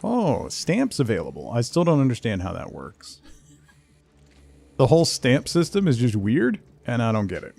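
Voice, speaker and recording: A man's voice: medium pitch, huge pitch swings, normal speed, vocal fry, a little mellow, slightly rough. A man chatting casually as he livestreams a game, muttering asides to himself and his viewers. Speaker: game streamer. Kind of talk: casual livestream gameplay chat.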